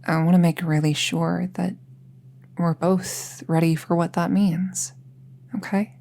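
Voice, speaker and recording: A woman playing an earnest apprentice witch in an intimate roleplay audio drama, speaking in a hesitant, gently reassuring way. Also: lowish voice, little pitch movement, unhurried, vocal fry. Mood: earnest, careful. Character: earnest, careful apprentice witch, close friend to listener.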